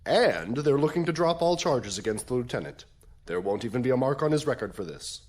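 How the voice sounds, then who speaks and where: A man playing a smooth-talking crown prosecutor in an audio drama, wry, insinuating and theatrical. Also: medium pitch, lively intonation, fairly quick, darkish tone, close to an everyday voice. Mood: smug, affable.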